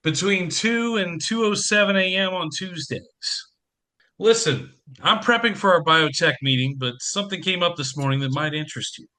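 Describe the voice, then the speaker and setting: A man's voice: sits high, normal speed, sharp tone. A man acting in an audio play as a harried, wry, affectionate businessman father with dry sarcasm. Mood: wry, busy.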